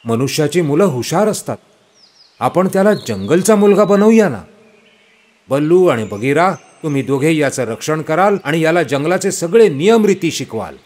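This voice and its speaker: Children's animated tale: commanding animal chief played by a man, instructive, pitched high for a male. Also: normal speed. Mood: decisive, instructive. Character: authoritative wild pack-leader animal giving orders. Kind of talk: children's animated storybook acting.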